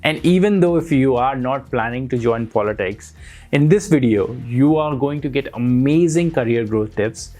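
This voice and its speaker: A man's voice: medium pitch, a little mellow. Earnest male interview host. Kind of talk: interview show intro and questions.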